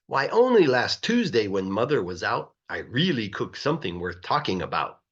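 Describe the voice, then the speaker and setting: A man voicing a boastful, excitable young boy cook in a storybook, speaking in bouncy bragging rhyme. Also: on the low side, moderate pace, husky, highs cut off, pitched below the performer's own voice, full character voice. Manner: bragging, bouncy rhyming speech.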